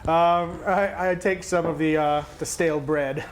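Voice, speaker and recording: A man with a fairly high voice takes part as a player in a tabletop game. He talks in casual, conversational banter with the table. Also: steady tone, a little slow, slight background noise.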